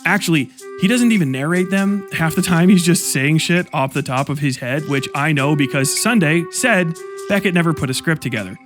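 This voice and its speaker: A man's voice: fairly high pitch, normal speed. A man reading a scripted video essay narration in a pointed, accusatory way. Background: music underneath.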